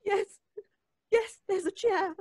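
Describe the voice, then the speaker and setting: A prim, high-strung woman in an old-time radio thriller. Her voice is high and recorded dry. She speaks emphatically, distressed and indignant, in exclamatory period style. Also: slow pace, darkish tone, no echo. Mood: panicked, tearful.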